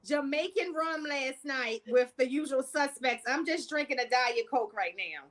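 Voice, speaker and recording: A woman's voice: high-pitched, animated, moderate pace, a bit bright. A woman with a Baltimore accent hosting a casual podcast, laughing often as she reads viewer comments aloud. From Baltimore, USA. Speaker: podcast host. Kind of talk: casual live-stream chat.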